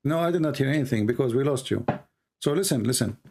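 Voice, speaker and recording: A man's voice: mid-range voice, expressive, fast, gravelly, background noise. A man hosting a live call-in debate, firing repeated pointed questions at a caller. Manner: pressing, repetitive questioning. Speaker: debate show host.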